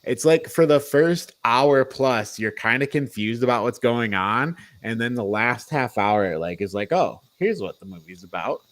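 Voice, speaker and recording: A man's voice: medium pitch, measured pace, few pauses, fairly bright tone. A man hosting a casual film discussion, speaking in an informal, slangy and opinionated way. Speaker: podcast host leading a film review.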